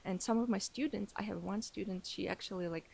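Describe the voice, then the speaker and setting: Young female podcast guest, native Armenian speaker, gravelly fry, chatty with lots of fillers. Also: mid-range voice, brisk, darkish tone, a little hiss or hum. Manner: chatty, laughs often, many fillers and restarts. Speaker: podcast guest, English teacher. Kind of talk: casual interview chat.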